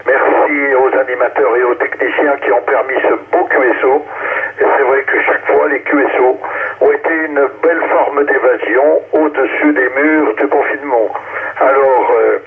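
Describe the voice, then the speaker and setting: Mid-pitched man, phone-thin radio sound. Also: fairly even intonation, moderate pace. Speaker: amateur radio operator, club honorary president. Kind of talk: formal greeting speech on a radio net. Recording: narrowband, phone-like ham radio relay.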